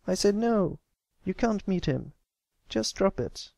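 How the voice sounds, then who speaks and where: A timid young pony colt from a storybook, acted by one reader, pitched up high. He is meek and halting, guarded about what hurts him, in a dry, close sound. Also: lively intonation, creaky, mellow, no echo. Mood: tense, defensive. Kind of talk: acted fan fiction reading.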